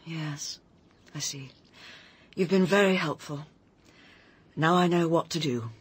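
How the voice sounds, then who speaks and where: Husky, troubled British village woman. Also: low-pitched, takes it slowly, exaggerated voice. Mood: troubled, searching. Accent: British English. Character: troubled, conscientious village woman gently confronting her friend. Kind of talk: radio drama acting.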